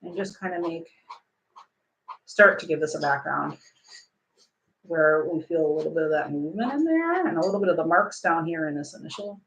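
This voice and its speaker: A woman hosting a casual painting stream, calmly explaining her technique as she works. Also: low voice, fairly quick, pauses often, poor audio. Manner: relaxed, explains technique while working, hums. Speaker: painting livestream host.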